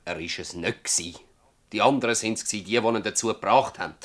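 A man acts out an older village farmer in a radio play, with a fairly low voice and a Swiss German accent. He is stubborn and troubled, blunt in his words and plaintively insistent. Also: a little fast, close to an everyday voice. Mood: bitter. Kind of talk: radio drama acting in dialect.

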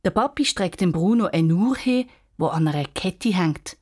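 A woman's voice: lowish voice, lively intonation, normal speed, a little mellow, slightly rough. A woman narrating a scripted children's story in Swiss German as the storyteller.

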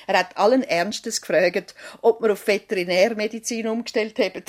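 An actress with a Swiss German accent playing a loyal, chatty doctor's assistant in a 1970s radio play, reporting in an eager, matter-of-fact way. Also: female voice, mid-range voice, steady tone, moderate pace, close to an everyday voice. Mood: eager, admiring.

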